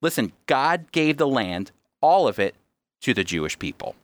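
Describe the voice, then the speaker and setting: American male radio host and teacher, mid-pitched, emphatic explainer, dry close recording. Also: very animated, moderate pace, fairly bright tone, no room sound.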